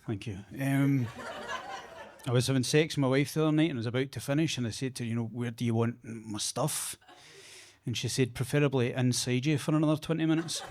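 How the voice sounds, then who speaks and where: A Scottish man doing a stand-up set in a basement comedy club, halting and repeating words, with a deadpan delivery on a handheld mic. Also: mid-range voice, slight background noise.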